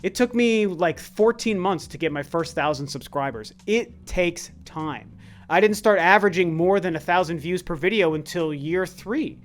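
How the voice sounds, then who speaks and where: A high-pitched man of about forty talks through viewer questions in a relaxed, earnest way. His voice sounds worn and tired, and he often restarts his sentences. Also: normal speed. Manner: earnest, conversational, with frequent restarts. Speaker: YouTube channel host. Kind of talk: casual Q&A chat.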